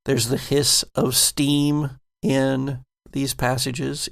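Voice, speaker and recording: Male game master, unhurried and gravelly, descriptive horror sci-fi storytelling. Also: mid-range voice.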